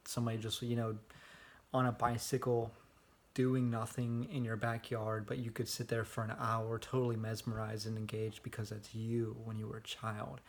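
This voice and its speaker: A young man talking casually into his phone for a personal vlog, rambling in a monotone with lots of fillers. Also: on the low side, moderate pace. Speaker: vlogger. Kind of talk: casual self-recorded vlog. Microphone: phone held at arm's length.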